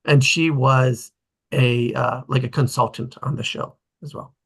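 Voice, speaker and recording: A man in a casual group discussion of a TV series, explaining his thoughts in a halting way and often breaking off mid-sentence. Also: mid-range voice, fairly even intonation, gravelly, breathy edge.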